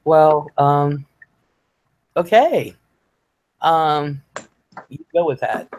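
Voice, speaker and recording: A woman's voice: low-pitched, animated, extremely slow, darkish tone, low-quality recording. A woman hosting a livestream in casual chat, chatty and laughing, with many fillers and free swearing. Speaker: livestream host.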